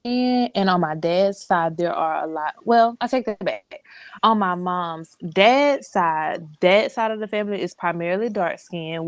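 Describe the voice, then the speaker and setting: A woman, a guest on a casual group podcast recorded over a video call, talking in a lively, playful way. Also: fairly low pitch, no echo, dull audio. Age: 20s.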